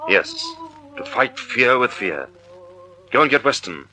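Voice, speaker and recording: An actor playing a suave, resolute gentleman sleuth, calm amid danger, in a 1940s-style radio mystery. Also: medium pitch, a little slow, faint music behind, exaggerated voice, low-quality recording. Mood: urgent, grave. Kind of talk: 1940s radio drama acting.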